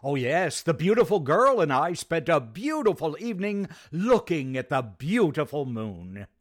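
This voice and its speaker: An actor playing a suave, excitable man about town in a radio sitcom, theatrical and emphatic. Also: fairly high pitch, measured pace, dry recording, clean studio sound. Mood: wry, lovelorn.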